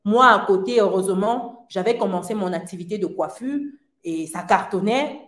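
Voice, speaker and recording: A woman giving an earnest personal testimony in casual talk, often laughing lightly between phrases and filling pauses. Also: medium pitch, fairly bright tone. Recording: roomy echo.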